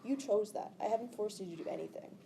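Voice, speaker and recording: A woman's voice: medium pitch, quick pace, warm tone, slight background noise, close to an everyday voice. A woman reading the part of an earnest, stubborn teenage boy hero in a storybook. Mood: defensive.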